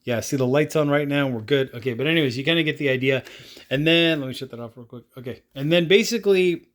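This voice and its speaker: A man with a medium-pitched voice presents a hands-on product review. He speaks informally and without a script, rambling a little as he explains each step and talks through his actions aloud. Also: quick pace, a bit bright. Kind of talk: casual unscripted product demonstration.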